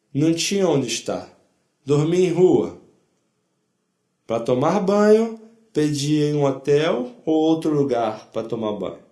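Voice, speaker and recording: An older man tells his life story as personal testimony. His voice sits fairly high, and he speaks in short, plain sentences, often repeating himself. The room gives the recording an audible echo. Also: warm tone. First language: not Portuguese. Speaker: Holocaust survivor giving testimony. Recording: echoey room.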